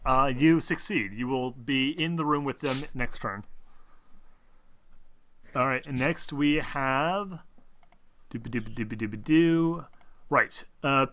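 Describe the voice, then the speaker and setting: A man's voice: medium pitch, slow pace, long pauses, sharp tone, dry recording. A man acting as game master, casually narrating a tabletop role-playing game.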